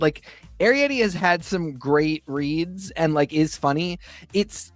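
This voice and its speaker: Brief: male co-host, chatty and loose, slightly high voice, dry close mic. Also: dry recording. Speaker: podcast co-host. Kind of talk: casual review chat.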